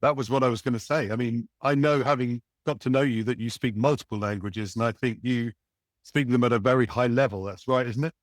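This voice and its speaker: A man hosting a podcast, asking measured questions that prompt his guest to go on. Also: mid-range voice, steady tone, dry recording, studio quality. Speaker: podcast host. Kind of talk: interviewing a guest.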